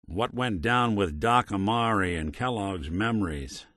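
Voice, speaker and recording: An American actor playing a world-weary, gruff but decent synthetic private detective in a noir game, with a dry, sardonic manner. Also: male voice, on the low side, a little slow, fairly bright tone, no room sound. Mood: reflective. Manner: dry, sardonic, old-time gumshoe slang. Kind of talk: noir hard-boiled detective game dialogue.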